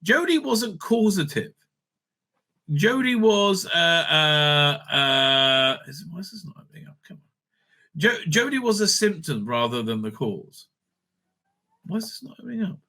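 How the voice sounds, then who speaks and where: A middle-aged man giving casual, rambling opinionated commentary as a show host, emphatic and halting, often repeating himself and checking his points. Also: on the high side, animated, pushed and bright.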